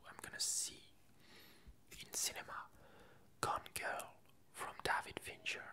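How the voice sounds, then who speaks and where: A woman whispering a casual ASMR chat in a breathy, halting way with long pauses. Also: bright, forceful tone. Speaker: ASMR video creator.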